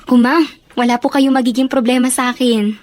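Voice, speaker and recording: An actress playing a dutiful, worried elder sister from a poor family in a Filipino radio drama, speaking earnestly and with drawn-out emotion. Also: female voice, high voice, steady tone, a little mellow, natural voice. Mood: hopeful, determined.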